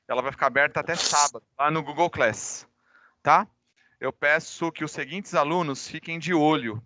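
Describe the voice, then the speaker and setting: A Brazilian man, a high school teacher with a cold, giving informal class announcements online and addressing students directly. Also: sharp tone, dry recording. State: recovering from a cold. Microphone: microphone.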